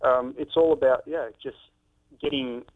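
A man's voice: medium pitch, steady tone, a little slow. A man in his thirties, a fitness author and trainer, talking earnestly in a phone-in interview with many ums and restarts. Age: 30s. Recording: tinny phone line.

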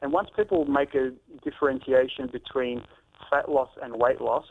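A man in his thirties speaks over a thin, tinny phone line with a gravelly, fry-laden voice. He answers earnestly, filling gaps with ums and restarting his sentences. His pitch stays in a middle range. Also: steady tone, moderate pace. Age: 30s. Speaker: fitness author and trainer. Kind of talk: phone-in interview.

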